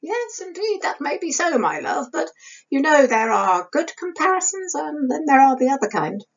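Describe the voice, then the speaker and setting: A woman's voice: high-pitched, sing-song, normal speed, pitched above the performer's own voice. A woman voicing a wry, teasing older male actor and husband, in dry formal period speech for a novel. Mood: dryly teasing. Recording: dull lo-fi webcam sound.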